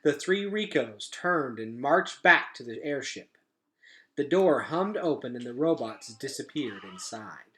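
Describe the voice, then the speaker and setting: A man reading a children's story aloud as narrator, in an expressive storytelling style. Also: medium pitch, unhurried.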